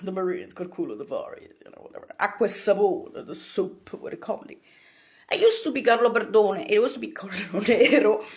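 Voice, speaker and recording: A woman from Italy, rambling in a profane monologue, laughing and bursting into shouts. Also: medium pitch, expressive, a little fast.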